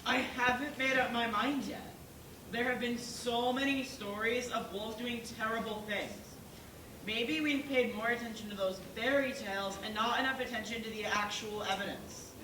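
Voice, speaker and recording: A female amateur actor playing a fair-minded, reasonable pig juror in a stage play, measured and questioning. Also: fairly high pitch, close to an everyday voice. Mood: reasoning. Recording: echoey noisy room, distant mic.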